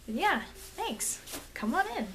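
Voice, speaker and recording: A woman's voice: sits high, very animated, a little slow, fairly bright tone, full character voice. Flustered, friendly vampire girl played by a woman, noisy recording. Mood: flustered, surprised. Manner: awkward, hesitant, rambling, chatty. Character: friendly, flustered, eager vampire girl, thousands of years old. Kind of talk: ASMR situational roleplay. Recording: noisy amateur microphone, background hiss.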